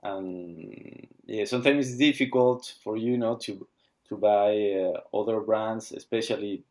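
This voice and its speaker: A man, speaking haltingly as he searches for words while being interviewed about founding his watch brand. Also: fairly low pitch, darkish tone. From Argentina. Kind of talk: casual interview.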